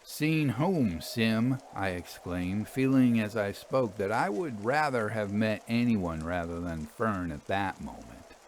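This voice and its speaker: Slow, expressive man reading a ghost story. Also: fairly low pitch, a little hiss or hum. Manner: measured, suspenseful, expressive phrasing. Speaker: storyteller reading fiction aloud. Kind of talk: dramatic literary ghost story narration.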